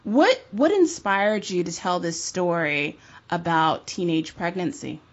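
A woman's voice: lowish voice, expressive, measured pace, highs cut off. A woman co-hosting a podcast, asking the guest questions in an engaged way with small restarts. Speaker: podcast co-host. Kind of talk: interview questions.

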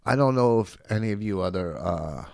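A middle-aged man, a comedian hosting a podcast, chatting in an animated, jokey, rambling way. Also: fairly low pitch, a little fast, gravelly, smooth, no echo, clean studio sound. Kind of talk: casual chat.

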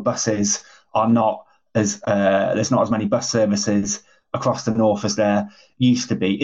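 Male interviewer, husky low voice, chatty rambling questions, muffled audio. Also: a little mellow.

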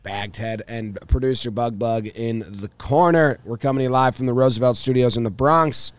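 A man with a hoarse voice hosting a casual sports podcast from a studio, joking and telling animated stories. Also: mid-range voice, normal speed, dry recording. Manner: jokey, animated storytelling. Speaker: podcast host.

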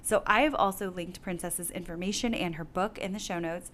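A woman's voice: lowish voice, fairly quick, a bit bright, a little hiss or hum. Enthusiastic young woman podcast host. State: recovering from laryngitis. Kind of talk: casual interview and scripted outro.

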